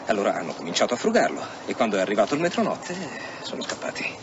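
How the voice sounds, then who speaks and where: An actor playing a keen, quietly persistent police detective in a radio drama, inquisitive and tentative as he reasons. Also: fairly low pitch, huge pitch swings, rapid-fire. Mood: reasoning. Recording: muffled radio drama with background noise.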